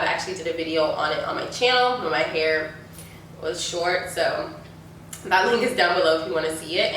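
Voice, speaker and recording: A woman's voice: on the low side, a bit bright. Stuffy-voiced young woman in an echoey room. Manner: chatty, lots of likes and ums. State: stuffy from a sinus infection. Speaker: beauty YouTuber. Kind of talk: casual Q&A chat. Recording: echoey room, mic at a distance, faint noise.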